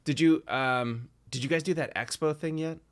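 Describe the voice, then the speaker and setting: A man's voice: a little high, normal speed. A man hosting a casual video chat, talking in a rambling, hesitant way with lots of fillers. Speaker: podcast host.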